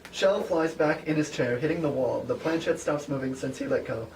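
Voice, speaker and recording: A man's voice: fairly high pitch, fairly quick. A man informally narrating a script, reading out its stage directions. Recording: noisy recording, distant from the mic.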